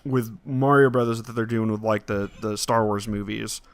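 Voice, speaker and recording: A man chatting casually as a podcast host about entertainment, talking in a halting way with repeated phrases. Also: lowish voice, fairly even intonation, smooth, no room sound. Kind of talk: casual movie discussion podcast.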